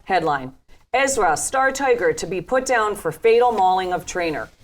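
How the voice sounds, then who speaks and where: A woman's voice: medium pitch, expressive, moderate pace. A woman reading scripted stage directions as narrator at a live table read.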